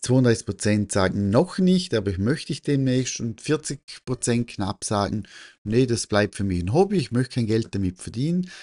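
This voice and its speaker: A man with an Austrian-Swiss German accent talking informally and presenting survey results, halting and correcting himself as he thinks aloud. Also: lowish voice, darkish tone. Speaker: photography teacher and newsletter host.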